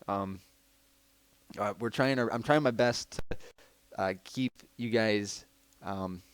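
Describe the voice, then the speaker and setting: A man with a low, raspy baritone voice hosting a podcast, chatting loosely as he answers listener questions over a headset mic. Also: dry recording. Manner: loose, rambling delivery. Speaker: podcast host.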